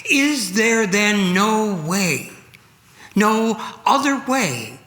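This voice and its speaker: A man acts out a sorrowful holy man at prayer in a solemn sacred story. The voice is fairly high and intense, pleading very slowly in halting, drawn-out phrases. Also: sing-song, bright, forceful tone, exaggerated voice. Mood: anguished pleading.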